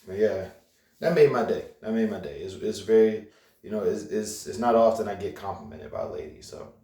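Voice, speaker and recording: A young man rambles through his week with plenty of fillers and restarts. His voice sits very low. It rings through an echoey room, picked up from a distance. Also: fairly quick, warm tone. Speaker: vlogger. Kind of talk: casual vlog rant.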